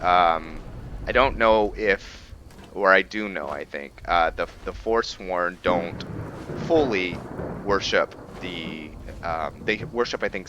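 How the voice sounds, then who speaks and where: A man giving casual commentary on a roleplay video game, halting as he thinks aloud and explains the lore. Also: low-pitched, pushed and bright, highs cut off, slight background noise. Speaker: gamer narrating a roleplay playthrough.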